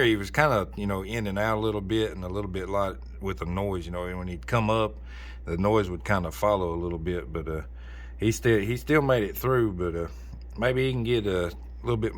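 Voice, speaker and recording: A man chatting casually as an amateur radio operator, in a folksy, rambling way. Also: deep voice. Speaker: amateur radio operator recording for a video channel. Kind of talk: casual chat over ham radio.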